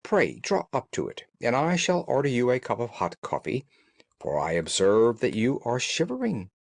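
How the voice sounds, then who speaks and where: Brisk, courteous gentleman detective, acted by a man, animated. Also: mid-range voice, gravelly, dry recording, full character voice. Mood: cheerful, courteous. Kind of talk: audiobook character dialogue.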